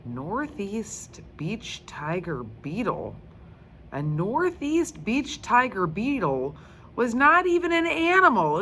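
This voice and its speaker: Woman as an eager, dramatic schoolgirl, swooping pitch. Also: a bit bright, a little hiss or hum, full character voice. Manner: exaggerated, drawn-out emphasis. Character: eager, opinionated young schoolgirl, dramatic and cheeky. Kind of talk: children's storybook read-aloud.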